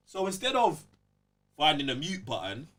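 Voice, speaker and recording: Higher-voiced young London man, slightly distant. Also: big pitch swings, normal speed, a bit bright. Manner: emphatic, slangy, repeats check-in tag phrases. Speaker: podcast host. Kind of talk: casual podcast discussion.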